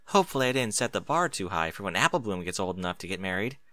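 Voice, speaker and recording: A man voicing an eager, bookish young female pony in a story, earnest, precise and excitable. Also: sits low, fast talker, no echo, pitched below the performer's own voice. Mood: earnest, happy.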